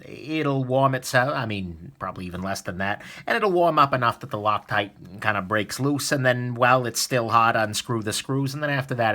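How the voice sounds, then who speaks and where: A man hosting a casual knife disassembly video, chatty and relaxed with lots of fillers, a little sniffly from a cold. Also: lowish voice. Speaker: knife reviewer, host. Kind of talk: casual tutorial narration.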